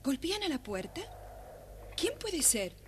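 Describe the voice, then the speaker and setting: An actress playing a kind, hospitable mother in a children's story record, with a gently commanding manner. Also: sits high, sing-song, slow, full character voice. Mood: caring, instructing. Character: kind, hospitable widowed mother of two girls. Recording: faint noise, old children's story record.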